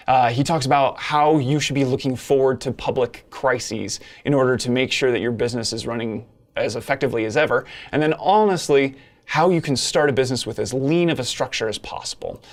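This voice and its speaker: A man's voice: mid-range voice, fairly quick. A man with a mid-range voice hosts an interview podcast. His delivery is casual and chatty, in plain informal language.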